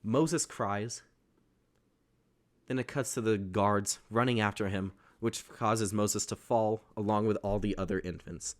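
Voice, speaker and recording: A young man with a baritone voice casually recapping a film, rambling and correcting himself as he goes. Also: fairly even intonation, unhurried. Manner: rambling, self-correcting, with restarts. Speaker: host.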